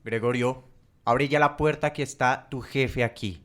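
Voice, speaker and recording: A man's voice: mid-range voice, fairly even intonation, a little slow, a bit bright, natural voice. A man playing a stern, impatient middle-aged father in an amateur radio play, with rain-like hiss.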